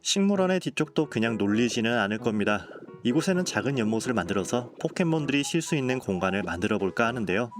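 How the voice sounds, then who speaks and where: A man narrating a game building video in a calm, polite, explanatory voice-over. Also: mid-range voice, quick pace, few pauses. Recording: voice-over with clear background music.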